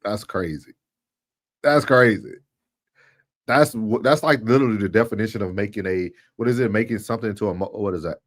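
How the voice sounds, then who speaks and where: A man with a lowish voice, recorded dry with no room sound, talks casually as a stream co-host. He is opinionated and emphatic, often saying a point again to make it land. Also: brisk, no room sound.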